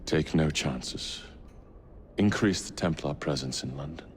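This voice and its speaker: An actor playing a cold, grieving middle-aged Templar industrialist who sings softly and gives stern orders. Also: male voice, a bass voice, slight background noise. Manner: slow, measured, commanding; sings softly. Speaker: villain. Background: game score music.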